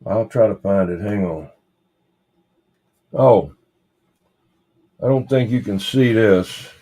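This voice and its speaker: A man's voice: fairly low pitch, slow pace, dark tone, breathy edge. A man hosting a casual, rambling livestream, muttering to himself in a folksy way as he talks. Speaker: livestream host showing vintage radios.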